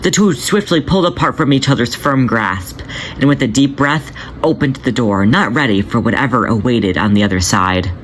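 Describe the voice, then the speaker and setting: A man reads his own fan fiction aloud in a steady, measured way. His voice sits at an ordinary middle pitch, with a plain homemade audiobook feel. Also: a little hiss or hum. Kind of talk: podfic story narration.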